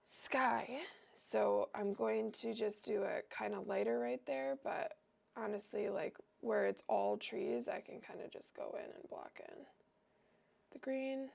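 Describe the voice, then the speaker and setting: A woman's voice: mid-range voice, vocal fry, darkish tone. A young woman with a cold and a nasal voice, hosting a livestream and chatting casually as she paints, wandering and thinking aloud with lots of fillers. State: sick and nasal.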